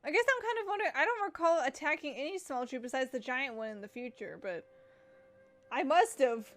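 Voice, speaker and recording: A woman's voice: sits high, animated, fairly quick, a bit bright, soft background music. A woman giving casual, reactive commentary while playing a game, rambling and thinking aloud as she goes. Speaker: gamer streaming a playthrough.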